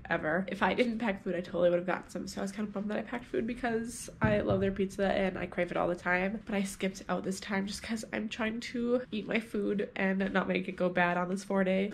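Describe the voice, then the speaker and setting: A woman's voice: medium pitch, vocal fry, a little hiss or hum. A young woman flight attendant with a cold, chatting casually to camera in hotel rooms on her layovers, her voice stuffy and congested. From United States. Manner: chatty, filler-heavy recap.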